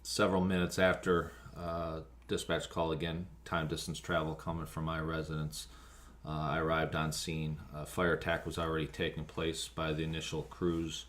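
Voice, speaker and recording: Man, very low voice, flat intonation, American accent, halting interview account, fire officer, slight background noise. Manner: halting, with frequent ums and pauses. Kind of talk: spoken account of an emergency call, interview.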